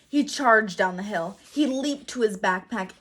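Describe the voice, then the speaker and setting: Female storybook narrator, teacherly and expressive, husky mid-range voice with dramatic emphasis. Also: medium pitch. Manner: dramatic emphasis, pauses to quiz listeners. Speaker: read-aloud teacher.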